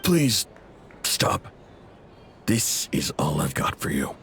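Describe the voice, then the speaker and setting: A man's voice: very deep voice, huge pitch swings, slow, gravelly, fairly bright tone, slight background noise, full character voice. A man playing a proud, indebted intel broker in a video game, blunt, casual and guarded, with an exasperated edge.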